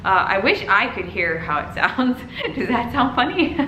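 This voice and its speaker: A woman in her thirties, a native Pennsylvania Dutch speaker, chats in a friendly way in a home vlog voiceover and laughs softly now and then. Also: mid-range voice, very animated, normal speed, muffled recording, a little hiss or hum. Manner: chatty, friendly, often laughs softly, mixes dialect and English. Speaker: vlogger, mother. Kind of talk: casual voiceover over cleaning footage. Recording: voiceover over clear background music.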